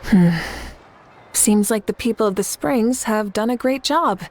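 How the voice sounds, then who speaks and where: A woman's voice: a little high, unhurried, a little mellow, lightly acted. A woman voicing a composed commanding leader. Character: confident adult female leader, calm and amused. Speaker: game character.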